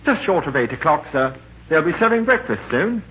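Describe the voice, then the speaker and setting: An actor playing a polite, deferential ship's steward in 1940s radio style, formal and apologetic in manner. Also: male voice, a little high, sing-song, a little fast, pushed and bright. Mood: fussy, deferential. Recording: dull, hissy old radio recording.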